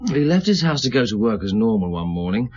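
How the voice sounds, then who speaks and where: An actor playing an earnest, dutiful police subordinate in a radio drama, speaking in a matter-of-fact way. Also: male voice, lowish voice, big pitch swings, a little fast, a little mellow, dull audio. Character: earnest, dutiful male police subordinate reporting to his chief.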